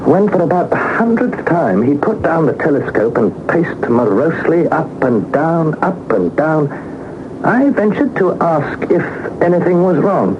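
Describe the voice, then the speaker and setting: An inquisitive young man on an expedition in a 1960s radio serial. His voice is fairly high and very animated, formal and articulate as he tells the tale. The old broadcast is dull and clearly noisy. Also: measured pace. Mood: reflective, wondering. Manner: articulate, expressive, formal storytelling. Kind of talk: 1960s radio drama acting and narration.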